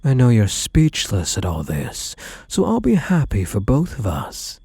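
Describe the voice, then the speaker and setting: A man playing a possessive, obsessively doting kidnapper in an intimate ASMR roleplay, hushed and menacingly tender, often slipping into whispers. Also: mid-range voice, huge pitch swings, a little slow, creaky, slightly rough, dry recording, full character voice. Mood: gleefully possessive. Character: possessive male yandere kidnapper, obsessively doting on captive spouse.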